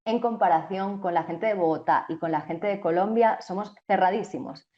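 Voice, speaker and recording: A woman's voice: on the low side, normal speed, highs cut off. A young woman from Spain with a Spanish accent, a journalist answering interview questions thoughtfully, with frequent restarts and fillers. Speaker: TV journalist and co-host.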